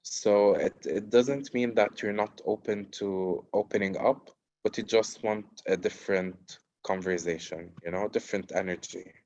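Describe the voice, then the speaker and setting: Panelist, man from Cyprus, low voice, reflective and plain-spoken, dull lo-fi call sound. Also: fairly even intonation, moderate pace, hardly any pauses, a little mellow. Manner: reflective, plain-spoken, frequent "you know". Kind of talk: casual conversational answer. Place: at home in Gaza.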